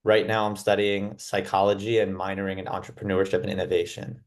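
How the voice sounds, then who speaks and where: A man's voice: low-pitched, level tone, fairly quick. A young man from New York introducing himself plainly as a student panelist on a video call. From New York, United States. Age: young adult. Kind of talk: self-introduction.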